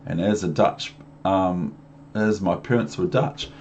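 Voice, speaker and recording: A man's voice: sits low, a little slow, a little mellow, a little hiss or hum. A man with a New Zealand accent reading a storybook to young children, rambling into chatty asides and questions for the listener. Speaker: storybook reader.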